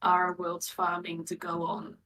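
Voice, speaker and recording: A woman's voice: on the low side, monotone, measured pace, pushed and bright. A woman talking casually in a group as a tabletop roleplay player, with faint noise in the recording. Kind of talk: casual group worldbuilding chat.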